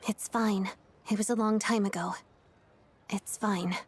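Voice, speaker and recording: Woman voicing a courteous, reserved young lady, mid-pitched. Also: fairly even intonation, measured pace, background noise, lightly acted. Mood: flustered. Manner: formal, courteous, earnest. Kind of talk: anime game voice acting.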